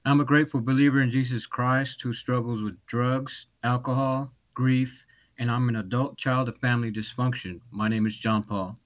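A man from Texas telling his life story as a podcast guest over a video call, speaking haltingly with many restarts. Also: mid-range voice, fairly even intonation, highs cut off. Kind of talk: personal testimony.

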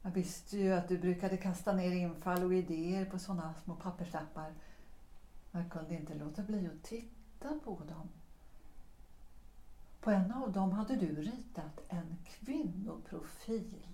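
An actress playing a former singer who calmly and deliberately explains why she left her lover. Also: lowish voice, close to an everyday voice. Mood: reproachful. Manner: measured, deliberate, quietly reproachful. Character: woman, a former opera singer, calmly accusing. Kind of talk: audio drama acting. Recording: roomy echo.

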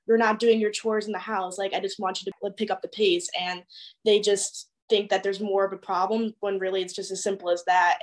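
Young adult woman, mid pitch, earnest, casual filler-heavy delivery. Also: rough recording. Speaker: panelist. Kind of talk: casual panel discussion.

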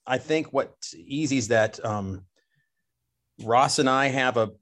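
A man's voice: medium pitch, slow, a bit bright. A man being interviewed as a guest musician, explaining things in an easygoing way, stringing his sentences loosely together. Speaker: guest singer and drummer. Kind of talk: casual interview chat.

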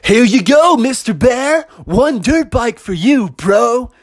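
A man's voice: very high voice, lively intonation, takes it slowly, no room sound, studio quality, pitched above the performer's own voice, exaggerated voice. A man voicing a hyped-up young adventure center employee, a brash bro with salesman patter, in a kids' story. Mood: smooth, friendly.

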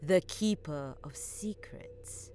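A woman voicing an eerie female seer who deals fate cards, speaking slowly in a whispery, otherworldly way. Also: low voice, dark tone. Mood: ominous. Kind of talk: audio drama acting. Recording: music underneath.

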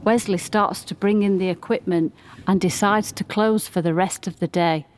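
A woman's voice: medium pitch, steady tone, normal speed, slight background noise. A woman reading scripted storybook-style narration as the narrator of a community radio play. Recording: music playing behind the voice. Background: music.

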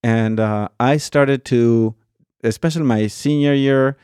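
Argentine-born priest, soft dark voice. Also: male voice, medium pitch, clean tone, no echo, clean studio sound. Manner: thoughtful, many pauses and fillers, says "right?" often. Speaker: priest guest. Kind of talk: conversational storytelling.

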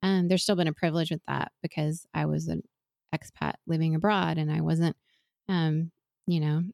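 A woman's voice: deep voice, level tone, moderate pace, creaky, a little mellow, no echo, clean studio sound. A woman in her forties who grew up in Venezuela, talking earnestly and thoughtfully as an interview guest, often restarting her sentences. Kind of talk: reflective interview conversation.

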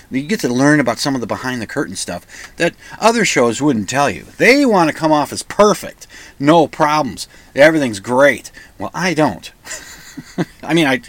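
Slightly croaky man with a cold, hosting. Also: medium pitch, fairly bright tone. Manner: self-deprecating, digressive. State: has a cold, sniffing, lozenge in mouth. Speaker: radio show host. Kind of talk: rambling casual solo radio talk. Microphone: microphone into recording software.

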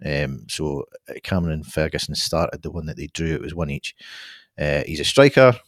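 A man talks football on a podcast in a very deep voice, weighing each point with hedges and restarts. The sound is dry and close to the mic. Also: animated, moderate pace, no room sound. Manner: thoughtful, hedging, many restarts. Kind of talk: casual football chat.

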